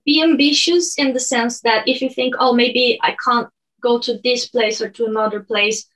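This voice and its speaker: A woman in her thirties from Brazil with a Brazilian Portuguese accent, a native Portuguese speaker, talking over a video call from her bedroom in a measured, earnest way. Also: on the high side, steady tone, fairly bright tone, rough recording. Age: 30s. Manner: measured, earnest, some restarts. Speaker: guest, online lecturer. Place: bedroom at home.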